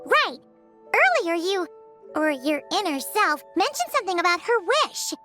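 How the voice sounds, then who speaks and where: A tiny, childlike fairy sidekick from a video game chirps in an extremely high, squeaky voice. The pitch swoops wildly with eager curiosity, and clear tinkly music plays behind. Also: female voice, sing-song, unhurried, sharp tone, heavily acted. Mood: eager, inquisitive. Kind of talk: English game dub acting.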